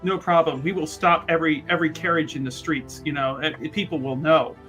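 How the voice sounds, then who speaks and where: Bright-voiced man boasting in character. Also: a little high, steady tone, a little fast, soft background music. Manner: boastful, laughing often. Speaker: tabletop game player. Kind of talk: in-character roleplay chat.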